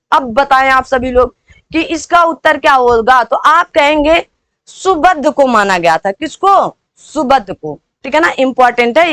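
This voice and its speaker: Woman, very high voice, dry close sound, coaching teacher, repetitive quizzing delivery. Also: fairly bright tone, no room sound. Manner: repetitive, quizzing, prompting listeners with rhetorical questions. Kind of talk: question-and-answer lesson for exam preparation.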